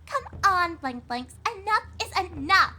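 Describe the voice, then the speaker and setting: A woman's voice: very high voice, big pitch swings, unhurried, bright, forceful tone, slight background noise, pitched above the performer's own voice, exaggerated voice. A woman voicing a haughty, bossy, sneering young filly pony in a story reading. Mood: scornful.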